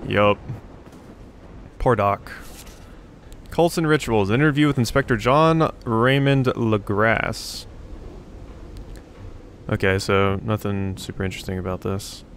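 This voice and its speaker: A man's voice: lowish voice, slow pace, pauses often, a little hiss or hum. A man giving casual let's play commentary, thinking aloud as he goes. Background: game ambience.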